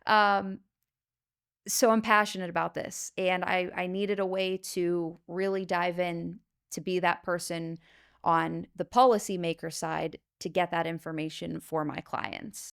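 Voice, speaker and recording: A woman with a fairly low, smooth, resonant voice hosts her own podcast as an insurance agent. She talks straight to the listener, earnest and emphatic, and checks in with a steady stream of "okay?" and "you know". Her voice is loud and carries. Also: unhurried. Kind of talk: solo podcast talk to the viewer.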